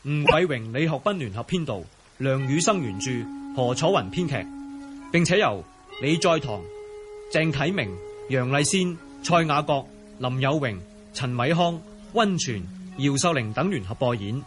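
A man's voice: medium pitch, lively intonation, normal speed. A man announcing radio drama credits in a formal, scripted style. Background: theme music.

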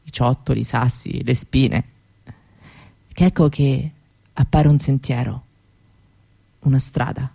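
A woman performing a first-person theatrical monologue in a reflective, unhurried manner with deliberate pauses. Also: low-pitched, vocal fry, warm tone, husky, no echo. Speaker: author and stage performer.

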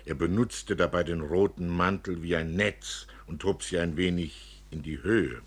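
A man narrating a literary story for radio in an expressive way. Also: sits low, measured pace, slightly rough.

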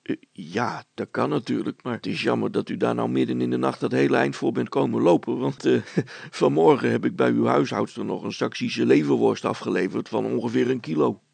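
A deferential village butcher from a children's storybook, acted by a man. He speaks hesitantly and obligingly in a husky, creaky voice, stumbling over his words. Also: mid-range voice, fairly quick, a little mellow, no room sound. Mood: puzzled, obliging.

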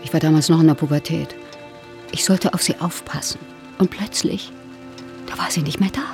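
A woman playing a troubled, self-reliant middle-aged actress in a radio drama, expressive and naturalistic with halting delivery. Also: sits low, over music. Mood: haunted, grieving. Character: troubled actress Ellie, haunted by family loss, stubbornly self-reliant. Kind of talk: German radio play acting.

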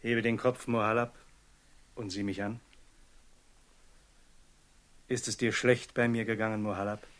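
An actor playing a calm, benevolent prince with a measured, commanding and reassuring manner in a radio play. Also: male voice, lowish voice. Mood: kindly, grave. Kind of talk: German radio play acting.

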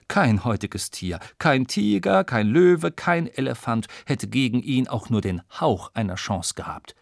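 A man's voice: medium pitch, creaky, no echo. Male storyteller in a very dry studio. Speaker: audiobook narrator. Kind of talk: scripted narration of a children's science audiobook. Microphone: close studio microphone, dry.

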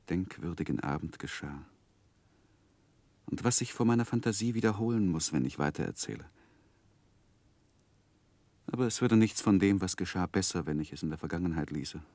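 Deep, close-miked male narrator, sorrowful and reflective, grave dramatic pauses, 1960s radio play. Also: vocal fry, darkish tone, dry recording. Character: grown man sorrowfully recalling his own past, reflective, haunted.

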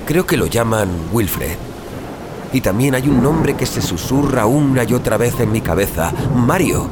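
An actor from an audio drama playing an earnest, haunted survivor and reformed troublemaker, confiding in colloquial Spain Spanish. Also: male voice, mid-range voice, animated, unhurried, noisy background, close to an everyday voice. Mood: grave, resolute. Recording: dramatic music and storm sounds behind.

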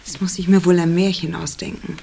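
A cool, guarded dancer from a noir radio play. Her voice is on the low side and her is terse, dry and wary. There is faint noise in the background. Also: flat delivery, brisk, gravelly, warm tone. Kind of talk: noir radio drama acting.

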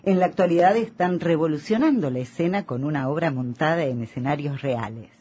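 An actress with an Argentine accent playing a polished, courteous host of a theatre radio programme, with a raspy voice. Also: female voice, sits low, brisk, warm tone, slight background noise, lightly acted. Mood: formal, presenting. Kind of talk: radio show hosting.

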